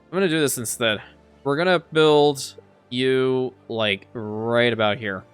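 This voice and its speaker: A man's voice: medium pitch, measured pace, clean tone, soft background music. A man whose first language is Farsi, chatting casually as he plays a city-building game, often repeating a word as he restarts a sentence. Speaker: let's play creator. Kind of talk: casual gameplay commentary.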